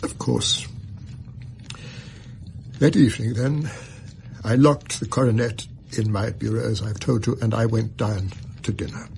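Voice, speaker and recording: Gravelly, troubled middle-aged gentleman. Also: fairly low pitch, steady tone, a little hiss or hum. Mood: troubled recounting. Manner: formal, earnest, halting. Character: distressed middle-aged Victorian banker, proud loving father. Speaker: actor in a radio drama. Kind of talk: radio drama acting, dramatic recounting.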